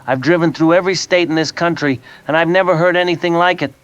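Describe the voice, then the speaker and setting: A man's voice: a little high, steady tone, fast, a bit bright, smooth, lightly acted. A man playing a rattled veteran truck driver calling into a radio show in an audio drama. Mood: shaken. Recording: dull lo-fi call-in sound.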